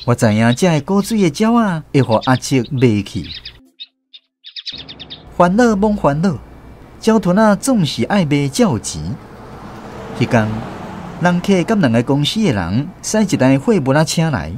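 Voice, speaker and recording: A man's voice: fairly high pitch, very animated, measured pace, long pauses, darkish tone, slight background noise. An actor with a Taiwanese accent narrating a radio drama as a man fondly recalling his rural boyhood. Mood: reflective storytelling. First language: Taiwanese Hokkien. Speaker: narrator telling his childhood story.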